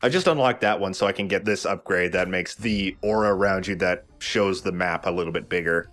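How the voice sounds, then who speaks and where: A man's voice: sits low, a little fast, a bit bright. A man co-hosting a let's play with casual, informal commentary, explaining things and joking along. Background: game music.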